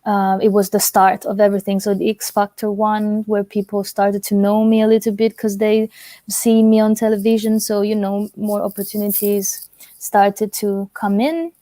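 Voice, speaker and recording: A woman in her twenties, raised in Italy, talks easily as an interview guest, filling her sentences with casual "like" and "kinda". Her voice is warm and mellow, and the recording is dry and close. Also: medium pitch, moderate pace, no room sound. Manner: relaxed, chatty, many fillers like "like" and "kinda". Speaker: guest singer.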